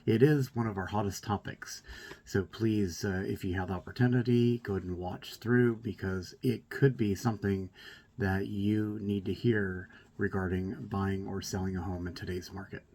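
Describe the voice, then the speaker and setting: A man's voice: lowish voice, steady tone, moderate pace, darkish tone. A man, a real estate agent, giving a promotional introduction straight to viewers.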